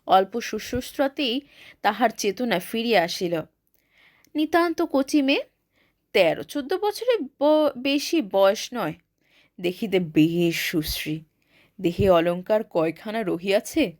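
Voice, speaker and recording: A woman reading a classic short story aloud in a formal literary style, with expressive delivery on a close mic. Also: mid-range voice, a little slow, pauses often, no echo.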